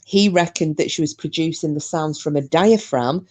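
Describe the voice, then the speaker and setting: A woman's voice: deep voice, normal speed, darkish tone. A woman co-hosting a podcast, chatting casually about a paranormal case, with lots of fillers and restarts. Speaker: podcast co-host.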